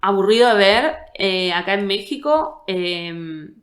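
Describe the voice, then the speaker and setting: A woman's voice: mid-range voice, animated, slow, fairly bright tone, roomy sound. A young Argentine woman hosting a podcast, speaking in a dry, blunt, casual way. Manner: blunt, dry, uses "vos". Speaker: podcast host. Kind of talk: casual conversation.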